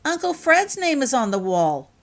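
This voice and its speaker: A woman's voice: high voice, very animated, moderate pace, pitched above the performer's own voice. Woman voicing an earnest, tentative young girl. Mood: uncertain, trembling. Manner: hesitant, earnest.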